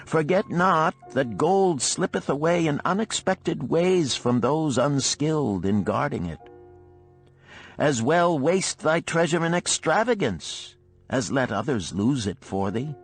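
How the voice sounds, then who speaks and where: A man voicing a wise, cautious older gold lender of ancient Babylon in a storybook, solemn and deliberate in archaic speech. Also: fairly high pitch, expressive, husky, soft background music, heavily acted. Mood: approving, cautioning. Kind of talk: storybook character reading.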